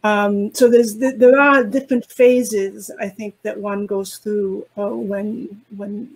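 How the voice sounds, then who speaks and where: A woman whose first language is Italian, speaking as a poetry translator on a video call panel, slow and halting as she searches for words. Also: medium pitch, steady tone, a little mellow, poor audio. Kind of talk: reflective panel discussion.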